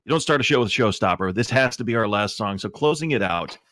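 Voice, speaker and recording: A man's voice: lowish voice, animated, fast talker, a bit bright. A man hosting a live podcast, introducing items in a casual, chatty way. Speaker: podcast host.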